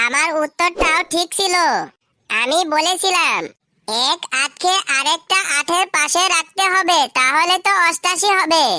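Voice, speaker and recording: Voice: extremely high pitch, sharp tone, no room sound, clean studio sound, heavily acted. A performer voicing a cheeky, overconfident young schoolboy in a comedy cartoon, earnestly answering his teacher like a child. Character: cheeky young schoolboy pupil, dim but confident.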